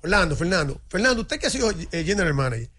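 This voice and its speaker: A man co-hosting a studio sports talk show, talking in an animated way with many restarts and repeats. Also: high voice, very fast. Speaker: motorsport commentator.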